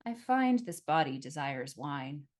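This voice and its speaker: A woman voicing a menacing demon who possesses a man, speaking in a cold, formal, deliberate and threatening way for an audiobook. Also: low voice, very animated. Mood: cold, smug.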